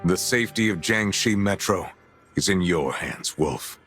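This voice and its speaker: An actor playing a grave commander giving a formal, measured mission briefing in a video game. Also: male voice, low-pitched, expressive, fairly bright tone, soft background music. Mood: grave, resolute.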